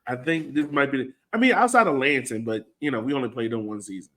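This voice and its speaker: A man's voice: mid-range voice, lively intonation, fast talker, pushed and bright. An American man co-hosting a soccer podcast, breaking down a match in informal, slangy casual talk.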